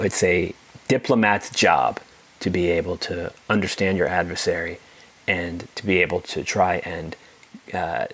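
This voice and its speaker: Deep-voiced candid male podcast host. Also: slightly rough. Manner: candid and animated, many fillers like "you know" and "like". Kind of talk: conversational podcast interview.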